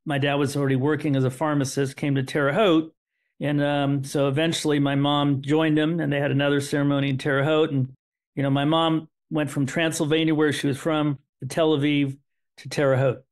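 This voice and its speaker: A man's voice: fairly high pitch, flat delivery, fairly quick. A middle-aged man, whose was Hebrew, telling a family story as an interview guest in an earnest way that repeats words and restarts. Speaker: interview guest, son of survivors. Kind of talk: conversational storytelling.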